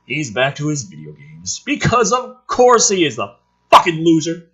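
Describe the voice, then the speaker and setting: A man with a slightly rough voice reading a script aloud dramatically, dropping in sardonic, profane asides. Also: a little high, wildly swooping pitch, normal speed, fairly bright tone, lo-fi sound. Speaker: host reading a film script aloud with commentary.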